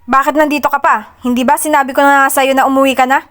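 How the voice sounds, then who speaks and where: A woman voicing a young man who is a cold, impatient boyfriend, clipped and rising to shouts. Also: high voice, steady tone, quick pace.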